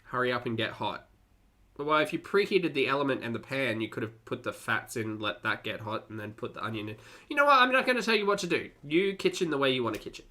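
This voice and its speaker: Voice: medium pitch, expressive, fast talker, pushed and bright. A person giving casual reaction commentary as a video host, with an exasperated, sarcastic way of critiquing what they watch.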